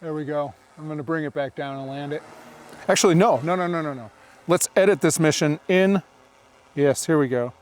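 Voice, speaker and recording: Higher-voiced man, casual outdoor vlogger. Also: fairly quick, darkish tone. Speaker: drone pilot and video host. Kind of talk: casual running commentary to camera while demonstrating gear. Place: outdoors in open dry hills.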